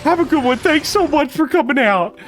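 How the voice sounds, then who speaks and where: A man's voice: extremely high pitch, expressive, fairly quick, a bit bright, slight background noise. A man chatting casually on a live game stream in a chummy, slangy way.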